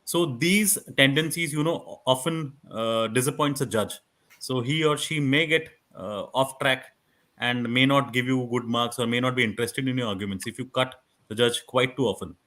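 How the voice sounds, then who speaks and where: Loud-voiced Indian man giving advice. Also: medium pitch, normal speed. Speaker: guest expert on moot court. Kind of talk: conversational advice in an online workshop.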